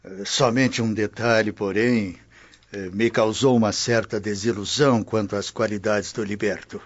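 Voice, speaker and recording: A husky-voiced stern Roman nobleman. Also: lowish voice, steady tone, unhurried, no echo. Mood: reflective. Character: proud Roman nobleman, husband, a little stern. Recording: dull lo-fi audio in a dry studio.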